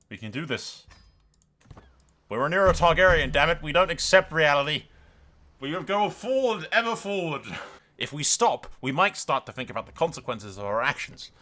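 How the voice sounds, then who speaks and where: A man hosting a gaming video with excitable let's-play commentary, swearing freely and swinging between dramatic exclamations and dry understatement. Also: mid-range voice.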